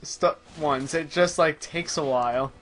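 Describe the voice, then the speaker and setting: A man's voice: fairly high pitch, unhurried, a bit bright, faint music behind. A man giving casual let's play commentary, exclaiming at the game as he plays it. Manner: exclaims at the game while playing. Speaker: player in a gaming show.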